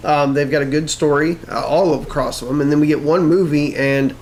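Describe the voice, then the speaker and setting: A man's voice: on the high side, steady tone, moderate pace, darkish tone, low-quality recording. A man co-hosting a show, giving his opinions in casual conversation. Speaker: co-host of a show. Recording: clear background noise, room ambience.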